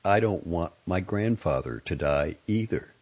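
A man voicing a frowsy, wretched expectant father in an audiobook story, hoarse, sullen and bitterly sarcastic. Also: low-pitched, unhurried, dull audio, heavily acted. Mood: quietly pleading. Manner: flat, sulky, sardonic.

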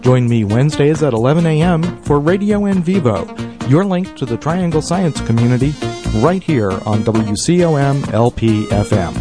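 A man's voice: mid-range voice, animated, normal speed. Male radio promo voice over guitar music. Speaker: science radio show host.

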